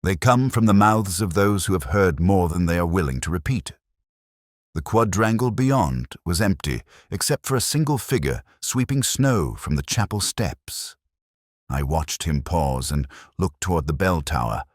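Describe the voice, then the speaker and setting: Very deep, brooding retired detective played by a man. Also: lively intonation, dry recording, studio quality. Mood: wistful, brooding. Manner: measured, wistful, erudite, wry. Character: melancholy older male retired Oxford police inspector, reflective narrator. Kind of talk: literary first-person detective drama monologue.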